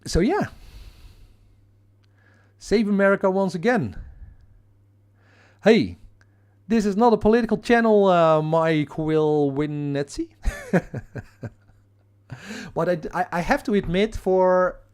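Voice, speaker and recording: A man with a strong Dutch accent explains astrophotography live on a stream, reading and answering viewer comments as they come in. His voice sits a bit higher than most men's, and he talks casually, with plenty of fillers and restarts. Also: animated, a little mellow, clean tone. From Netherlands. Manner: halting, many fillers and restarts; reads and answers viewer chat. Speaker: astrophotography livestream host.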